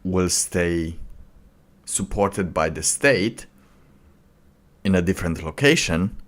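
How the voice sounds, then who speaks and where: A man giving casual, off-the-cuff commentary as a streamer, in a halting, rambling way. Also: low-pitched, lively intonation, a bit bright. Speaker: streamer reacting to a video.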